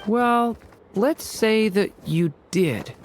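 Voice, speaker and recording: An actor playing a gentle, earnest young dragon boy in a video game, with a hesitant delivery. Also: medium pitch, animated, drawn-out words, a little hiss or hum. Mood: curious.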